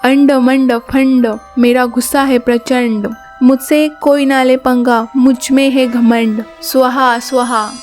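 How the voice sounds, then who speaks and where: A woman's voice: high voice, level tone, slow pace, few pauses, a little mellow, faint music behind. Lady doing a wicked witch for a cartoon fairy tale, boastful n scolding, pompous chanting. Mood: menacing, boastful.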